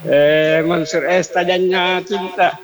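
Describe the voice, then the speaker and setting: A man calling a radio show, hesitant and halting, answering with short yeses. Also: sits high, steady tone, music in the background. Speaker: caller. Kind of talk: phone-in chat.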